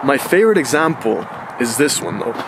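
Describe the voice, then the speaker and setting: A man's voice: mid-range voice, a little fast. Chatty thirty-year-old man, traffic behind. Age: 30s. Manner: relaxed and chatty, with slangy asides. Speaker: vlogger. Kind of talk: casual reflective monologue.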